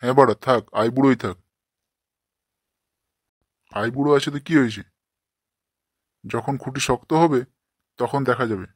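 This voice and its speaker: A man doing a gruff, scolding village father. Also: medium pitch, normal speed, pauses often, dry recording, natural voice. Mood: grumbling, mocking. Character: foul-mouthed, short-tempered village father and husband. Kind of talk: comic cartoon dubbing.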